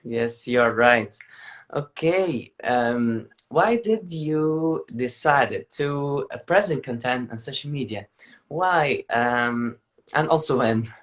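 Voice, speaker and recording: Slow, halting male interview host. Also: mid-range voice, expressive, fairly bright tone. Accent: Emirati. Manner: halting, many filler pauses. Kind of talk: live interview show.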